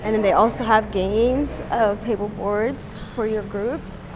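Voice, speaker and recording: A young woman, an English teacher, talking casually in an interview with many ums and soft laughs while walking around her apartment building. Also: mid-range voice, steady tone, measured pace, noisy background. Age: young adult. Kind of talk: casual interview and home tour.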